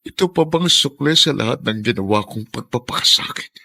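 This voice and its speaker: An actor playing an anguished, meek middle-aged ex-prisoner father in a Filipino radio drama, with a Filipino accent and a halting, stammering delivery. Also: medium pitch, expressive, gravelly, pushed and bright, exaggerated voice. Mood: anguished, pleading.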